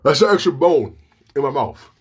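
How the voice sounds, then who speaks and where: A young man with a deep voice and slightly slurred words, talking casually and profanely to the camera with lots of restarts. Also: big pitch swings, normal speed, a little mellow, husky. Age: young adult. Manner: rambling, frank, profane, many restarts. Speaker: vlogger.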